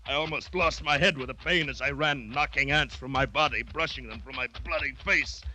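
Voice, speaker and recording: An actor playing a determined plantation owner fighting for his life, narrating intensely and haltingly in an American accent, in the manner of 1940s radio drama. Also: medium pitch, sharp tone. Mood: strained, urgent. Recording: restored 1940s radio recording, noisy, clicks.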